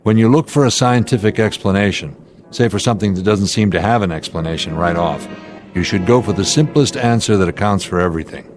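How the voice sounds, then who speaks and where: A deep-voiced American airline captain played by a male actor in an audio drama. He reasons calmly under pressure, deliberate and authoritative, explaining each point, with music behind him. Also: close to an everyday voice. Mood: patient, reasoning.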